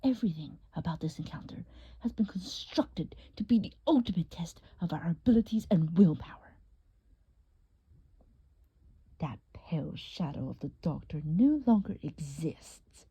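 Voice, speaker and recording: A woman voicing a scheming, fawning male scientist villain in a theatrical, flowery cartoon style, with a breathy, husky voice. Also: low-pitched, animated, moderate pace, mellow, muffled recording, full character voice. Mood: grandiose.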